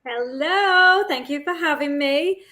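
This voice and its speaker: Woman, from England, chatty, high pitch, bright tone. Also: takes it slowly. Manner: chatty, laughing. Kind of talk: casual video call chat. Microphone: video call.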